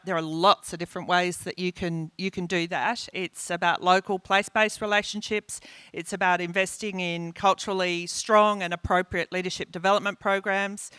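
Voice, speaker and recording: A woman's voice: fairly low pitch, sharp tone, clear, resonant tone, no echo. A woman speaking as a corporate executive on a conference panel, earnest and enthusiastic with many restarts. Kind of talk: panel discussion. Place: conference panel at a live event.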